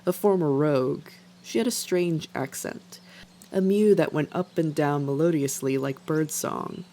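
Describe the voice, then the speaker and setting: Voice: medium pitch, a little slow, mellow. A narrator reading scripted fiction as the storyteller of an animal tale. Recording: faint background noise.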